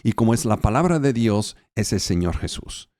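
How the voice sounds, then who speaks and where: Low-voiced non-native man preaching. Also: animated, a little mellow, dry recording, clean studio sound. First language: not Spanish. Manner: halting, with fillers and restarts as a non-native speaker. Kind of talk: sermon.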